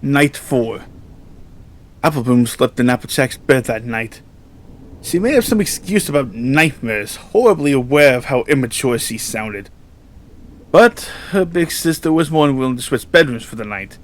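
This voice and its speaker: A man reading scripted horror fiction as narrator in a measured, solemn storytelling manner. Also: medium pitch, lively intonation.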